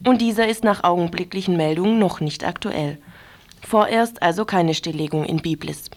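A woman's voice: on the low side, normal speed, slight background noise. A woman reading scripted news on the radio.

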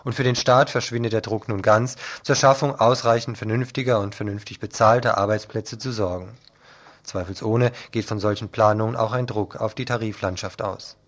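A man's voice: on the low side, fairly even intonation, moderate pace. A man presenting scripted radio news commentary in a pointed, critical manner.

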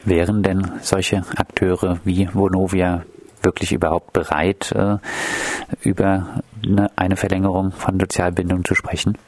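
A man's voice: low voice, flat delivery, measured pace, darkish tone, no room sound. A man working as a radio interviewer, putting questions to a guest and presenting the programme.

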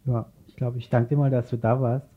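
A man hosting an informal radio interview, speaking haltingly as he searches for words. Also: mid-range voice, little pitch movement. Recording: dull, lo-fi radio recording.

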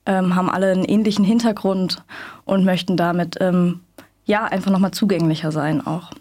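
A young woman activist answering interview questions in a radio studio, thoughtful and hesitant, with many pauses and fillers. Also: on the low side, fairly even intonation, darkish tone. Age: young adult. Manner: thoughtful, many ums and restarts. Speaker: activist guest.

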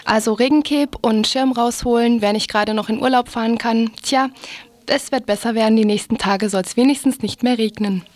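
A woman's voice: a little high, normal speed, dry recording. A woman presenting the weather informally on morning radio.

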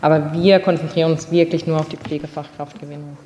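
A man's voice: a little high, little pitch movement, quick pace, warm tone. A man adding information off the cuff in a committee meeting. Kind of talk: spontaneous addition in a committee meeting. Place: large indoor meeting room. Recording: echoey hall with noise and clicks.

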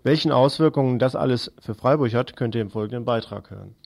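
A man's voice: medium pitch, fast talker, vocal fry, darkish tone. A man reading scripted news as a radio presenter.

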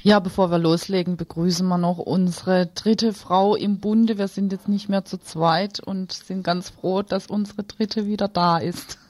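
A woman's voice: lowish voice, steady tone, measured pace, few pauses, darkish tone, no echo. A woman presenting and reading the news on a radio programme.

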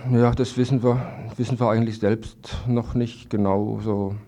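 Man, gravelly, mellow, halting interviewee, repeated words. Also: mid-range voice, level tone. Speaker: interviewed evicted trailer-camp resident. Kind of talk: spontaneous radio interview. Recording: dull lo-fi archival radio recording.

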